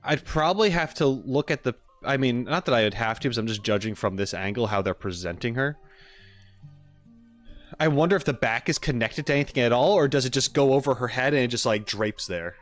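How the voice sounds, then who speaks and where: A man's voice: mid-range voice, lively intonation, fairly quick. Rambling man streaming with music behind. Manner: rambling, musing aloud in tentative half-sentences. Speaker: streamer reacting to game trailers. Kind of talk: casual live-stream commentary.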